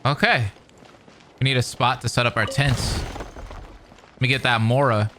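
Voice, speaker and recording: A man's voice: mid-range voice, steady tone, normal speed, sharp tone, a little hiss or hum. A man giving casual game reaction commentary in short, offhand interjections.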